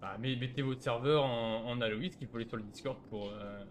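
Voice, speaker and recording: A man's voice: medium pitch, brisk, a little hiss or hum. A man arguing casually as a player in an online role-play chat, insistent and protesting.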